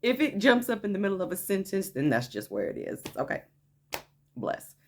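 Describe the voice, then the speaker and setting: A woman with a naturally deep voice telling a story to her viewers in a chatty vlog, often tossing in "okay?" as she hypes things up. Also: sing-song, normal speed. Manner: chatty, frequent "okay?" asides, hyped enthusiasm. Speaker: vlogger. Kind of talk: casual story time vlog.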